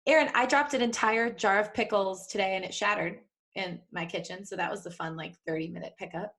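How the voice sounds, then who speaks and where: A woman's voice: medium pitch, brisk, fairly bright tone. A woman co-hosting a casual live podcast, telling small anecdotes with wry, joking asides. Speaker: podcast co-host.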